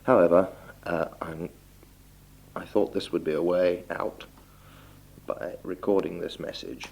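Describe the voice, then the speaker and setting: Young guy, really low croaky voice, halting polite chat like a spoken letter, old 60s reel tape. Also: fairly even intonation, a little mellow, poor audio. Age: young adult. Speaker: doctor recording a message for relatives. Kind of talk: spoken letter, informal monologue.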